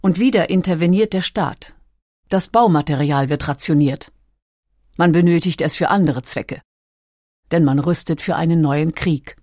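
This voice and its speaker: Low-pitched female documentary narrator. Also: normal speed, dark tone, dry recording, studio quality.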